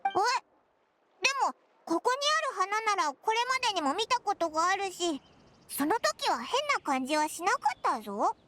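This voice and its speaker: A woman voicing a small, childlike flying companion in an anime game, cheeky and excitable, with blunt boyish speech. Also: moderate pace, background noise. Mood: puzzled. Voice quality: squeaky, childlike. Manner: blunt, exclamatory, rough casual speech. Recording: music behind the voice.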